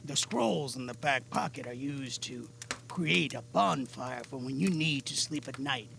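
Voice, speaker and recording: A man playing a weary, cautious older mentor in an amateur audio drama, speaking in a measured, grave, gravelly way. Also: mid-range voice, fairly bright tone. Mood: instructive, steady. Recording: noisy amateur mic with clicks.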